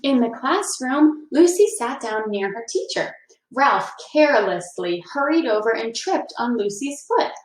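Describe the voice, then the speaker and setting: Female teacher for a children's read-aloud, higher-pitched, explains simply, recorded in an echoing room. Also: big pitch swings, measured pace. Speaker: teacher reading aloud to a class. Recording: roomy, echoing indoor sound.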